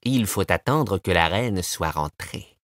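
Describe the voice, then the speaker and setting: A man reading a storybook and voicing a sly, sensible male wolf who speaks calmly and cautiously. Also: low voice, slow, no room sound, clean studio sound, voice pushed down, full character voice. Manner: calm and cautious. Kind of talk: storybook voice acting.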